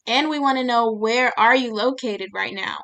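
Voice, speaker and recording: A woman's voice: on the high side, moderate pace, vocal fry, sharp tone. A woman co-hosting a live event, reading out quiz questions to the people watching.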